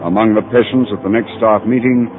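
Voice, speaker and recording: A man's voice: lowish voice, fairly even intonation, a little fast, a little hiss or hum, natural voice. An actor playing a probing, reassuring psychiatrist who narrates his case in an old radio drama. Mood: grave narration. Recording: narrow vintage radio broadcast with music.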